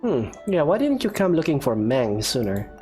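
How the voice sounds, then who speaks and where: A woman's voice: low-pitched, very animated, normal speed. A woman giving casual, dryly joking commentary while playing a video game. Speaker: gamer, streamer. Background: game music.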